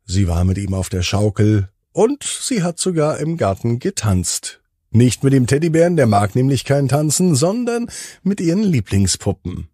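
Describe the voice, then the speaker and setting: A middle-aged man telling children a bedtime story as a podcast host, with expressive, drawn-out emphasis. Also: lowish voice, breathy edge, dry recording, studio quality.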